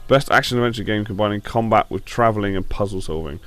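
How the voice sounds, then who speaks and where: A man hosting a gaming show with casual, blunt opinion commentary, slightly croaky from a sore throat. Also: on the low side, fast talker, a bit bright.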